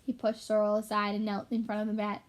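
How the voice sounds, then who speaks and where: A woman's voice: medium pitch, monotone, fast talker. A woman storyteller reading a children's book aloud expressively and in an explanatory way for young listeners.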